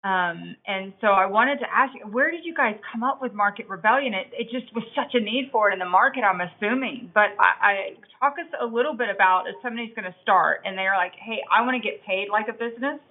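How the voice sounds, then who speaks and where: A woman's voice: medium pitch, quick pace, few pauses. A woman co-host speaks with chatty enthusiasm, repeating phrases as she builds to her question. Her voice sits mid-range and comes through thin, like over a phone line. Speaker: podcast co-host. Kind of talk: interview questions.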